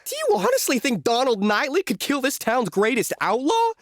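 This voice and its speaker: Scornful, sharp-tongued western woman, acted. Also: sits high, big pitch swings, moderate pace, dry recording, studio quality. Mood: outraged. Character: scornful, sharp-tongued western troupe member, defiant and theatrical. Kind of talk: theatrical western audio drama.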